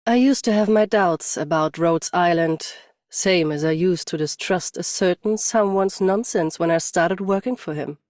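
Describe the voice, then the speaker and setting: A woman's voice: on the low side, moderate pace, few pauses, darkish tone, dry recording, close to an everyday voice. A guarded female watchkeeper from a game, voiced by an actress, cool and loyal. She sounds understated and dry, close to the mic with no room around her. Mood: wry, reassuring. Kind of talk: scripted video game character voice line.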